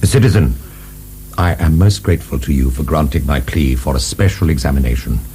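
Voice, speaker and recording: A man's voice: very deep voice, fairly quick, warm tone, slight background noise. A man acting in a radio drama as a proud, defensive middle-aged prisoner of the French Revolution, emphatic and formal. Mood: ingratiating, proud.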